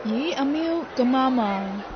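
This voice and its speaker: A woman's voice: high voice, animated, takes it slowly. A woman with a Hong Kong Cantonese accent playing a friendly, inquisitive young female acquaintance in a radio drama. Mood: curious. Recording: dull audio, faint ambient noise.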